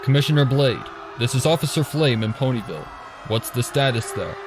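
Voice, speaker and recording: A man's voice: medium pitch, big pitch swings, brisk, background noise, close to an everyday voice. A man voicing a male pony police officer in an audio fan drama, shaken but dutiful, reporting urgently. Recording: loud music bed over voice.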